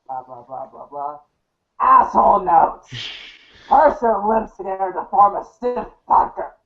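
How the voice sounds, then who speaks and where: Man playing a high-pitched abrasive foul-mouthed bully. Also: sing-song, slow, creaky, exaggerated voice. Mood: mocking contempt. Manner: crude, taunting, sweary. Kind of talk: amateur read-along voice acting. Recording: thin phone-like sound.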